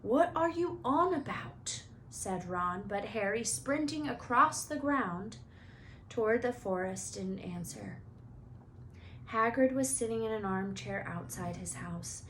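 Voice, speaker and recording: A woman's voice: mid-range voice, unhurried, fairly bright tone, slight background noise, low-quality recording. A woman narrating a children's book expressively, as a teacher reading aloud.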